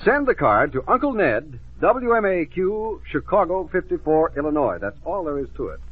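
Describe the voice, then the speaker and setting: An American man announces to children in 1950s radio style. His voice is on the high side with a slightly husky edge. The recording is narrow and tinny, like an old broadcast. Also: very animated, normal speed. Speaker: radio announcer, host's sidekick.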